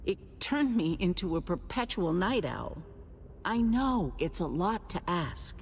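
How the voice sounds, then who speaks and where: A woman's voice: fairly low pitch, big pitch swings, darkish tone, a little hiss or hum. A poised, expressively lilting woman leader. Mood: sombre, earnest. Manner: genteel, formal, measured politeness. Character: poised, courteous female settlement leader, smoothly persuasive. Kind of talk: naturalistic dramatic game acting. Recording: music under the voice.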